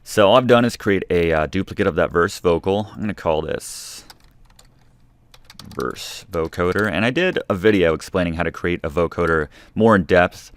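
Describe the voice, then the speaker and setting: A man's voice: sits low, moderate pace, dry recording. A man narrating a music production tutorial in a casual, informal, explanatory way. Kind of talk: casual instructional screen-recording narration.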